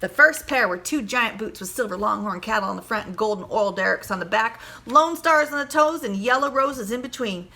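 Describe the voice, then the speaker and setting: Female storybook reader, teacherly and expressive, higher-pitched with a very bright tone. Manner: animated, teacherly, pauses to question listeners. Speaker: primary school teacher reading aloud. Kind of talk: expressive children's storybook read-aloud.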